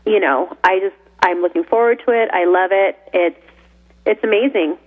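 A woman's voice: mid-range voice, normal speed. A woman co-hosting a radio talk show, chatty and often laughing as she trails through her sentences. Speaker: radio co-host. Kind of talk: casual studio chat. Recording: narrow phone-like radio sound.

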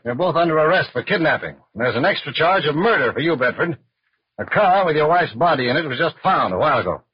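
Government agent, husky, slightly high voice, lively intonation, authoritative, old radio drama, muffled sound. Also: male voice. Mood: commanding. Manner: brisk, authoritative. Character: businesslike, decisive male FBI special agent. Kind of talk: 1940s radio crime drama acting.

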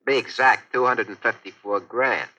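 Sharp, cocky American racketeer. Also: male voice, medium pitch, steady tone, moderate pace. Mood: smug, scheming. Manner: slangy tough-guy talk. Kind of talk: 1940s radio crime drama acting. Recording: vintage 1940s radio broadcast.

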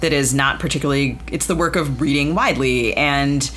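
A woman hosts a conversational interview. She phrases things thoughtfully and haltingly, working ideas out as she goes. Faint ambient noise sits behind her. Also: very deep voice, a bit bright. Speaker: podcast host.

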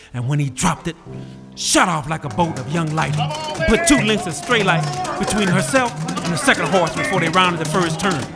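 A man narrating an old-time radio drama in a folksy storytelling manner. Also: on the high side. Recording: old radio recording with music behind.

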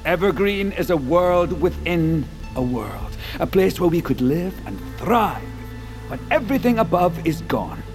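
A visionary scientist showing off his great creation in a sci-fi audio drama. His voice sits fairly high and moves widely, theatrical and sure of itself, with music underneath. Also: very animated. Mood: grave, visionary. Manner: theatrical, deliberate, self-assured. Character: grandiose visionary male scientist host unveiling his underground biosphere.

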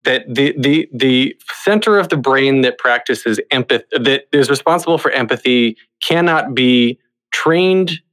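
A man's voice: mid-range voice, measured pace, a bit bright. A man hosting a podcast, talking in an effusive, wordy, conversational interview style. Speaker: podcast host.

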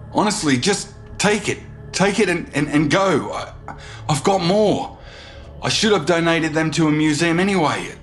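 An actor in an audio drama playing a frightened, wealthy lawyer who stammers, rambles and pleads. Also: male voice, a little high. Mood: nervous placating.

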